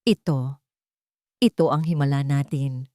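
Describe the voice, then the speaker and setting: A woman's voice: rumbling low, steady tone, a little slow, mellow, no room sound, studio quality. A woman with a Filipino accent voicing a rich, tender older lady overwhelmed by emotion in an audio drama. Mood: tearful, tender.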